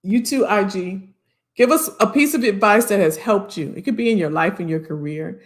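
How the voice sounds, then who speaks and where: An American woman chats to her live viewers as a career coach. She talks in a familiar, folksy way, often saying a phrase over again. Her mid-pitched voice has a slight hoarseness to it. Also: normal speed. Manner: chatty, familiar, repeats phrases. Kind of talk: casual live-stream chat with viewers.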